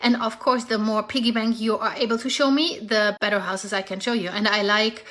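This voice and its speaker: A woman's voice: medium pitch, fairly even intonation, brisk, fairly bright tone. A woman with a German accent, a real estate agent giving a casual, upbeat market update straight to her viewers, chatty and persuasive with small slips.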